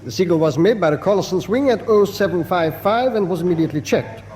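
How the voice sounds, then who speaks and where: An actor playing a deferential German naval lieutenant in a radio drama, giving formal, precise reports. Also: male voice, high voice, quick pace, a little mellow, lightly acted. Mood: dutiful. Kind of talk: full-cast radio drama acting. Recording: long room reverb.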